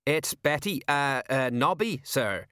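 A man's voice: medium pitch, lively intonation, takes it slowly, pushed and bright, dry recording, clean studio sound, pitched above the performer's own voice. A man voicing a deferential, self-satisfied police sergeant in an audiobook, with a plodding, homely manner. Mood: eager, confiding.

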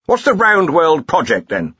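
A man voicing a domineering old wizard who argues impatiently and booms, in a storybook reading. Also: wildly swooping pitch, unhurried, pushed and bright, breathy edge, no echo, dull audio, full character voice. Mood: brisk, musing. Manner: argumentative, booming, impatient. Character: blustering, domineering old male wizard, head of faculty.

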